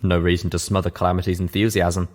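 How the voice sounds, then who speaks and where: A man's voice: sits low, flat delivery, fast, darkish tone. A man reading a scripted story aloud as its narrator. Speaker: audiobook reader, narrator.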